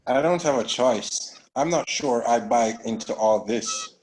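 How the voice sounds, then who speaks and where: An actor at a table read playing a brooding, guilt-ridden man in a thriller drama, measured and introspective. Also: medium pitch, lively intonation, noisy background, lightly acted. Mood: guarded.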